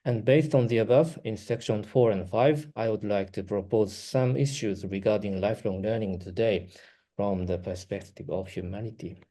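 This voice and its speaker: A man with a Japanese accent, whose first language is Japanese, giving a prepared academic talk as a researcher, reading carefully with brief pauses. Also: on the low side, warm tone.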